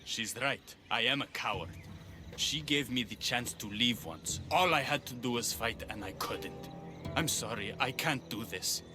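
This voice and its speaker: A man's voice: mid-range voice, animated, a little slow, music in the background, lightly acted. A man playing an earnest, self-doubting rebel leader with a sorrowful streak in a video game. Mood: defeated. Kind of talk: video game cutscene acting.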